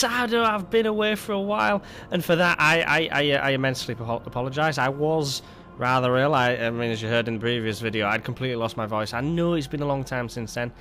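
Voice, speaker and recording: A man's voice: on the high side, a bit bright, slight background noise. A man hosting casual gameplay commentary, rambling with stumbling restarts and a lingering cough. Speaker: gaming video host.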